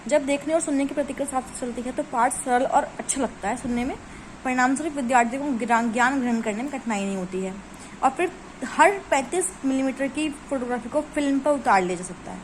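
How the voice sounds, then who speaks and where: A woman with a fairly high voice teaches a lesson, reading formal textbook lines and then explaining them again in everyday Hindi sprinkled with English words. A faint hiss sits under her voice. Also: quick pace, rough recording. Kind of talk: explaining from study notes.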